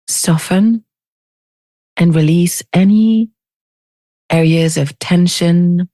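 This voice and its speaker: Slow, low-voiced woman guiding meditation. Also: no room sound. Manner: gentle, unhurried, with long pauses. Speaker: meditation teacher. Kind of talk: guided meditation.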